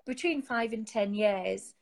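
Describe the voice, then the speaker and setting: A woman's voice: fairly high pitch, unhurried, vocal fry. Geordie woman, interview guest, casual, rambling, phone mic. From Newcastle, England. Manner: chatty, rambling, with many fillers and restarts. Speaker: guest, founder of an online support group. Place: home, sitting on a sofa.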